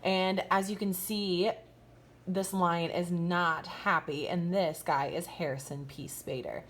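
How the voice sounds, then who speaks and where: A woman kindergarten teacher reading a picture book aloud expressively, pausing to ask young listeners questions. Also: lowish voice, steady tone, a bit bright. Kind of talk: expressive children's storytime read-aloud with asides.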